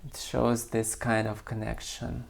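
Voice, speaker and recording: A man whose first language is not English, reading his own poem and then musing about it slowly and hesitantly, searching for words. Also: mid-range voice, completely flat delivery, vocal fry. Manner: slow, searching, hesitant with many pauses. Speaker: poet and channel creator.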